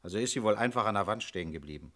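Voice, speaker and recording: An actor playing a shrewd, commanding police inspector in a German radio crime drama, questioning in a terse, authoritative way. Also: male voice, lowish voice, animated, racing, a bit bright. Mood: calm, knowing.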